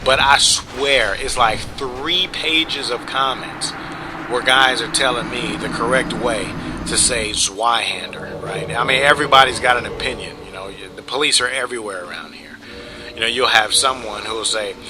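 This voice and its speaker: A man's voice: mid-range voice, moderate pace. Rambling man over gameplay, noisy track. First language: English. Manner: rambling, relaxed, fillers like "you know" and "right?". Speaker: gameplay commentator. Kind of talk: casual commentary over gameplay.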